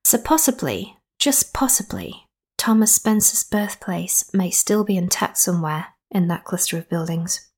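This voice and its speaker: A woman's voice: lowish voice, big pitch swings, unhurried, a little mellow, breathy edge. Chatty young woman. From Skipton, North Yorkshire. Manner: rambling, playful asides. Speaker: podcast host. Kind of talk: chatty spoken introduction and interview.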